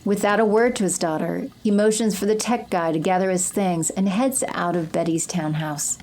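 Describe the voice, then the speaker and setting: A woman delivers scripted narration for an audio drama. Her voice is slightly low for a woman. There is clear background noise and ambience behind her. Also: normal speed, darkish tone.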